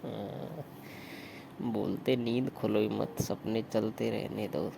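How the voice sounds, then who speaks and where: A man playing a resigned, flirty brother-in-law, talking in a low-key, gravelly voice on an intimate late-night phone call. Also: fairly even intonation, takes it slowly, full character voice. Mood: gently teasing. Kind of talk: intimate staged phone-call acting in casual Hindi. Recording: noisy phone-call recording.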